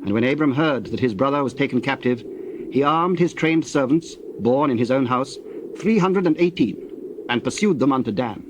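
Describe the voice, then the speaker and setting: A man narrating scripture from a script in a measured, solemn manner. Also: mid-range voice, animated, noisy background.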